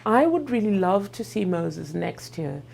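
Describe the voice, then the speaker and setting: A woman's voice: mid-range voice, big pitch swings, unhurried, vocal fry, darkish tone, a little hiss or hum. A middle-aged woman from Kenya with a Kenyan accent, talking informally as a pediatric dentist. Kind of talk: informal interview talk.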